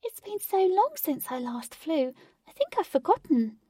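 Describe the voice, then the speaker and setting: Woman putting on a tiny frightened fairy, high breathy whisper, hushed and hesitant, storybook reading. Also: big pitch swings, moderate pace, no echo, studio quality, recorded from a distance. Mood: fearful. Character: shy nervous female fairy kept in a box.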